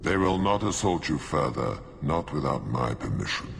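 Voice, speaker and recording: An actor playing an ancient, imperious demon of stone in a video game, speaking formally and with menace. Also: male voice, very deep voice, very animated, normal speed, creaky, background noise, full character voice. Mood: commanding. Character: ancient imperious hungry demon rock creature.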